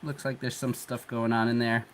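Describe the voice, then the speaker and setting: A congested man hosting a livestream, chatting in a relaxed, rambling way while he works, chuckling often. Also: medium pitch, moderate pace, a little hiss or hum. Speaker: 3D printing livestream host. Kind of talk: casual livestream talk while working.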